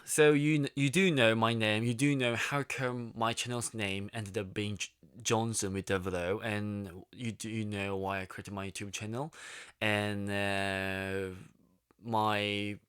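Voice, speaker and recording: A young man with a Korean accent talks to his viewers in a slow, lower voice, full of restarts and fillers. His voice is clear and smooth, recorded close and dry with no room sound. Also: steady tone, a bit bright, dry recording. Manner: halting, many restarts and fillers, searching for words. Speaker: YouTube vlogger. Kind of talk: casual monologue.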